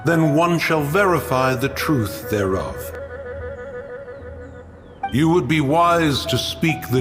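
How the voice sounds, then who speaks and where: Proud ancient mountain guardian beast for a game, big swooping delivery, huge reverb, music under it. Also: male voice, mid-range voice. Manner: imperious, archaic, formal declamation. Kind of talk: video game voice acting. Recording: long cavernous reverb, music behind.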